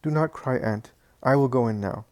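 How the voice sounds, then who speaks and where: A man voicing a calm, kind and resolute teacher who speaks gently but firmly. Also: medium pitch, normal speed, dry recording, lightly acted.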